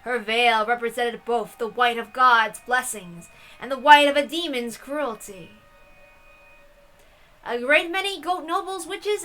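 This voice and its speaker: A woman reading a visual novel aloud in an expressive way, with casual asides as the host. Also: high-pitched, rough recording.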